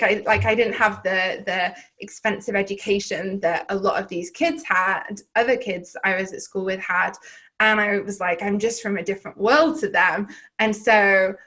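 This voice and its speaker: A woman with a Southern English accent speaks at a middling pitch as a podcast guest. She tells personal stories in a rambling, reflective way, full of restarts and filler words. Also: fairly bright tone. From Wales. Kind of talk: candid personal storytelling in conversation.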